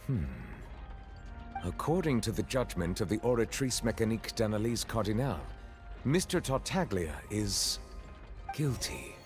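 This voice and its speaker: A man playing a stern, composed chief judge in a video game, formal, grave and measured. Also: lowish voice, sing-song, over music.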